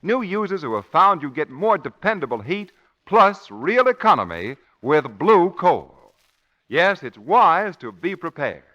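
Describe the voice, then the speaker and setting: Male vintage radio announcer: formal, emphatic, widely swinging mid pitch, muffled old recording. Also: very animated, measured pace, a bit bright. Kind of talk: 1940s radio announcing, scripted.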